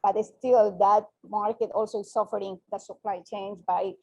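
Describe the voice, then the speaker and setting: Hesitant woman with a mellow voice. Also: medium pitch, flat delivery, moderate pace, vocal fry, rough recording. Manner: hesitant, many fillers and restarts, soft trailing endings. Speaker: panelist, furniture company owner. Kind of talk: panel discussion talk.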